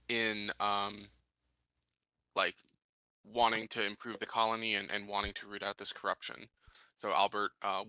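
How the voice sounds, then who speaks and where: A man's voice: lowish voice, bright, forceful tone, no echo. A man talking casually as a player in a tabletop roleplaying game, thinking aloud hesitantly as he goes.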